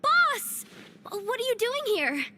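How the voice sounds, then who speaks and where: An actress voicing an excitable young female tinkerer in a video game, talking in a lively, expressive way. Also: extremely high pitch, slow, a little hiss or hum, heavily acted. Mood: excited.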